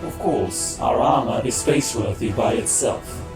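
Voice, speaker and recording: An actor playing a proud, haughty armored genius in a comic dub, imperious and formal in speech. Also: male voice, medium pitch, lively intonation, a little slow. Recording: echoey, distant, loud music behind.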